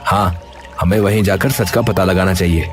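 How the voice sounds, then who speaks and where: A man's voice: low voice, steady tone, moderate pace, faint music behind, lightly acted. Man playing a bold, scoffing young villager. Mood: resolute. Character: bold, teasing young village friend in a cartoon.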